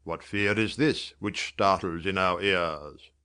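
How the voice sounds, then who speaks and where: Man playing regal displeased prince, lowish voice, smooth and resonant, stern Shakespearean drama. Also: takes it slowly, pushed and bright, heavily acted. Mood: stern command. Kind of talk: theatrical Shakespearean audiobook acting.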